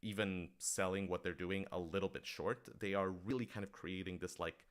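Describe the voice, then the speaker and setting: A man with a lowish, smooth and resonant voice, chatting through audience questions on a livestream. He explains things casually and with enthusiasm, feeling his way through each answer. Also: fairly even intonation, moderate pace. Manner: enthusiastic, explanatory, conversational with frequent hedging.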